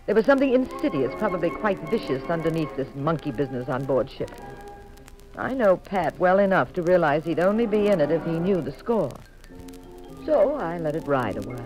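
An actress playing a witty, jealous detective's wife, narrating in an arch 1950s radio drama style. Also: female voice, sits low, very animated, brisk, poor audio. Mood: wry, thoughtful. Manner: wry, arch. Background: music behind the voice.

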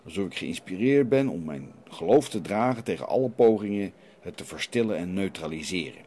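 A man's voice: sits low, lively intonation, brisk, dark tone. A man playing a stern, defiant renegade priest in a radio play, challenging and preachy as he questions. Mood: reproachful. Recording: light background noise.

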